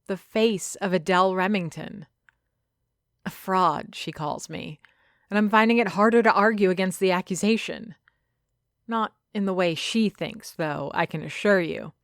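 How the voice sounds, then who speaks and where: A woman narrating a first-person story from a script, reflective and measured, with dramatic phrasing. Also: medium pitch, no room sound, studio quality. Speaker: narrator of a fiction podcast.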